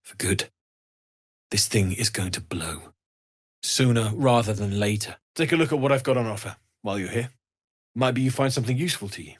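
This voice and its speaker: A kindly fantasy smith from a video game, acted by a man, with a mid-pitched voice that has a husky grain. He speaks softly and sincerely, with world-weary concern and an earnest, gentle temper. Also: a little fast, lightly acted. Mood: grave, concerned, then friendly, sincere.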